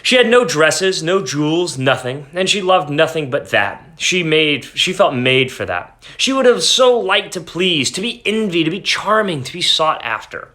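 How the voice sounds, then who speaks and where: Bright, expressive male storyteller. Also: a little high. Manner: expressive, explains the plot in quick asides. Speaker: reader. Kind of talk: dramatic reading with asides.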